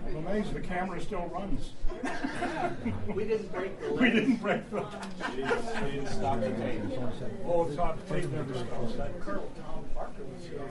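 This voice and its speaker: A man's voice: high-pitched, animated, normal speed, pauses often, over a noisy crowd. A man in casual, joking chat at a luncheon gathering. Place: busy restaurant luncheon. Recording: distant mic in loud crowded room.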